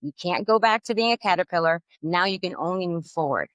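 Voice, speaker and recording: Lively woman from Puerto Rico. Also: fairly low pitch, fairly quick, no room sound. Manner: animated, explains with vivid metaphors. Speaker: guest, family law attorney. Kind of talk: conversational interview.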